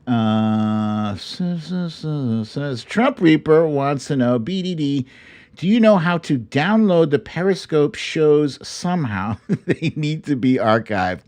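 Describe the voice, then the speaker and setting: Slow-talking man hosting a casual livestream. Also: fairly high pitch, animated, a little mellow. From Brooklyn, New York. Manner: informal, slangy, addressing viewers directly. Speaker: host of a livestream talk show. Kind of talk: casual livestream chat with viewers.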